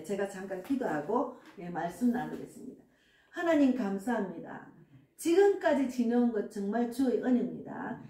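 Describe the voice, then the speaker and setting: A woman's voice: sits high, warm tone. A woman in her sixties, a pastor, preaching a sermon earnestly and emphatically, with frequent affirming asides. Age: 60s. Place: church sanctuary. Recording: echoey church room, distant mic, faint music.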